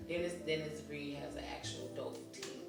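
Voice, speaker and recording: Echoey, low-pitched woman hosting a chat. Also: steady tone, moderate pace, vocal fry. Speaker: interview host. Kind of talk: casual, chatty interview. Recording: echoey room, distant mic, music behind.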